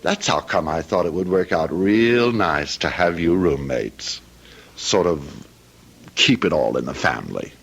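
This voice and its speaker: A sly, swooping, deep Southern villain. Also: male voice, expressive, measured pace, vocal fry, fairly bright tone, slightly rough, heavily acted. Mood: mockingly polite. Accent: American Southern. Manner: taunting, drawling mock courtesy. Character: sly, cruel Southern gentleman villain, mockingly polite. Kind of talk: radio drama acting.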